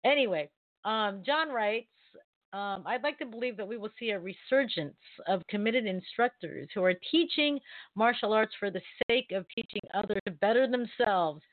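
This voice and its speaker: A woman's voice: medium pitch, animated, normal speed, dry recording. A woman co-hosting an online talk show, chatting casually, often laughing and restarting her sentences. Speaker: co-host. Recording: thin phone-like audio.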